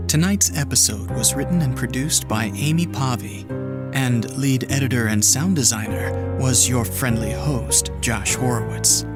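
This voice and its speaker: A man hosting an audio drama podcast, reading scripted closing credits in a measured, formal manner. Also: medium pitch. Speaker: podcast host, editor and sound designer. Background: music.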